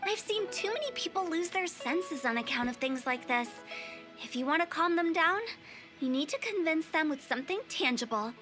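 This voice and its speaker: An actress playing a confident, theatrical young female exorcist in an anime video game, speaking with brisk assurance. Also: sits high, expressive, a bit bright, faint music behind. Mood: frank, explaining.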